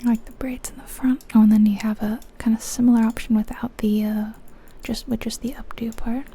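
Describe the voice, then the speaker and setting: Softly whispering woman, ASMR style. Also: on the high side, level tone, fairly quick, slight background noise. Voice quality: breathy unvoiced whisper. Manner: gentle, chatty musing. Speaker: ASMR video host. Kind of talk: ASMR whispering, casual game commentary.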